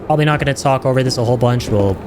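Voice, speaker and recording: A man hosting reaction videos, speaking in an excited, chatty, rambling way to his viewers. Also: mid-range voice, very fast, background noise. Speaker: streamer and video host reacting to game trailers. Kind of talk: excited casual commentary to viewers.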